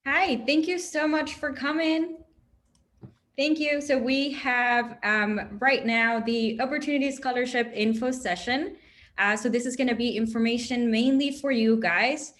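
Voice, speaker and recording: A woman's voice: a little high, unhurried, a bit bright. A young woman from Chile hosting an online info session, chatty and upbeat with many fillers, heard over a video call. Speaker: host, operations manager.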